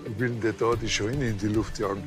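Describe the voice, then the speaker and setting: A man's voice: on the low side, steady tone, brisk, over music, close to an everyday voice. An actor playing a brash, sceptical provincial policeman in a TV crime comedy, blunt and dry.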